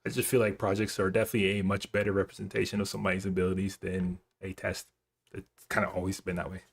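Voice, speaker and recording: Guy streaming live coding, kinda low voice, slangy and casual, thinks out loud while answering chat. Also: little pitch movement, quick pace, gravelly, husky. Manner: informal slangy talk, thinking aloud. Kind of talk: casual livestream chat, reading and answering viewer comments.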